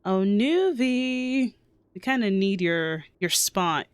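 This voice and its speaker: A woman's voice: medium pitch, expressive, slow, smooth, no room sound. A woman streamer chatting playfully over a story game, joking and reacting as she plays. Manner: playful, chatty, laughs often. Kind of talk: game playthrough commentary. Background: game music.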